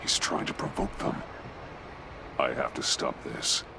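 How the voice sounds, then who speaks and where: A man voicing a grim, brooding masked crime fighter in a video game, muttering tersely in a gravelly growl. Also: unhurried, noisy background, close to an everyday voice.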